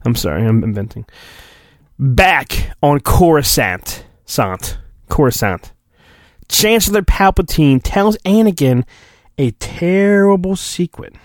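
Man, podcast host, lively higher-pitched voice, mock-dramatic reading, exaggerated emphasis, digressive joking asides, dry close mic. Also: slow pace, no room sound.

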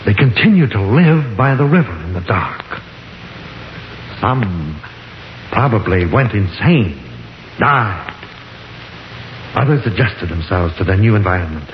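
An actor playing a grave professor who reasons slowly and theatrically in a 1950s radio horror drama. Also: male voice, on the low side, very animated. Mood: earnest explaining. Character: grave, reasoning male professor exploring dark caverns. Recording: hissy, dull 1950s radio recording, roomy.

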